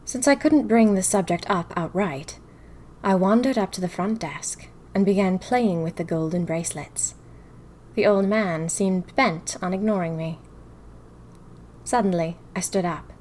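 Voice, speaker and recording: A woman's voice: medium pitch, unhurried, slight background noise, lightly acted. A woman playing a spirited, inquisitive young diarist of the 1920s, narrating theatrically in a fiction podcast. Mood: restless, intrigued. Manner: theatrical, expressive storytelling. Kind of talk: first-person diary narration in a fiction podcast.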